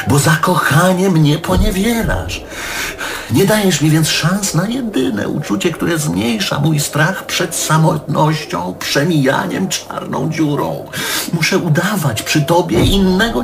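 An actor playing a bitter, needy middle-aged man in a stage play, arguing emphatically with his lover and catching himself mid-word. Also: a little high. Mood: bitter, accusing, then wounded. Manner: emphatic, self-correcting, swallows curses mid-word.

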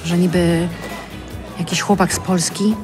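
A woman's voice: fairly low pitch, fairly even intonation, measured pace, over music, close to an everyday voice. An actress playing an anxious forty-something mother in a naturalistic audio drama, speaking in a hesitant, unsure way. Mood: worried. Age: 40s.

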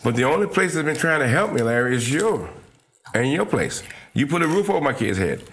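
A man's voice: medium pitch, very animated, brisk, fairly bright tone, slight background noise. A middle-aged man giving a plain personal account as an interview guest. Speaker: interview guest, father of young children. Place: small TV studio living-room set. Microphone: lapel.

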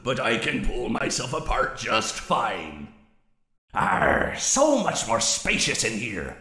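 A gravelly, cheeky pirate fox robot from a horror game. The speech is theatrical and swings wildly in pitch, ringing with roomy echo. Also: male voice, very animated, takes it slowly, sharp tone, heavily acted. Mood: sly, playful.

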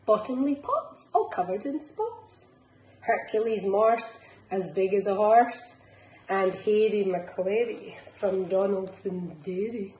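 Scottish woman, slow storytime reading, roomy sound. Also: mid-range voice, warm tone. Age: middle-aged. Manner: rhythmic, sing-song storytelling. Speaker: nursery teacher reading storytime. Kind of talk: expressive picture-book read-aloud for young children. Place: small living room, indoors. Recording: roomy echo, faint background noise.

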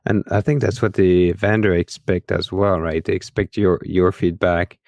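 Deep-voiced man co-hosting a podcast. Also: fairly even intonation, normal speed, a little mellow, no echo. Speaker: podcast co-host. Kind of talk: casual tech podcast conversation.

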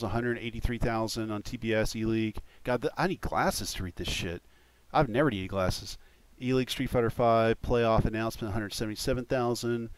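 A man's voice: medium pitch, clear, resonant tone, no echo. A man hosting a casual gaming livestream, talking in an informal, rambling, sweary way into a desktop microphone. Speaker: podcast host and game streamer.

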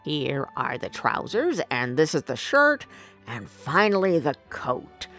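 A woman voicing a sly male swindler who talks in a flattering, showy and persuasive way for a storybook. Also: deep voice, takes it slowly, soft background music, voice pushed down, exaggerated voice. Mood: smooth, flattering. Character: sly smooth-talking male swindler posing as weaver.

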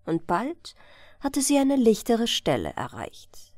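A woman narrates a fairy tale for bedtime listening. Her voice is fairly high and sweeps widely in pitch, while she keeps a very slow, measured pace. The delivery is expressive and scripted. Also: no echo. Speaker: audiobook narrator.

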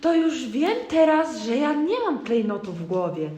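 A woman's voice: sits high, very animated, unhurried, mellow, slight background noise. A young girl playing a humble, curious little toad in an amateur radio play. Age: child or young teen. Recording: echoey room.

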